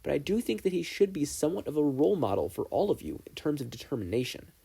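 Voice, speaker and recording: Guy reading as a stoic stern teacher in a story, measured lecturing, proud of his kids, soft-edged mellow voice. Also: on the high side, big pitch swings, creaky, husky, pitched above the performer's own voice, heavily acted. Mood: stony, firm. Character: stern but proud adult male teacher.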